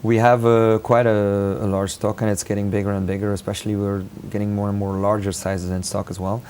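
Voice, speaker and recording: A man from Belgium speaks in a low, steady, mellow voice. He answers questions hesitantly, pausing on fillers and restarting phrases. A faint hum of noise sits behind him. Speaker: interview guest, rug maker. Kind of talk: casual interview answers.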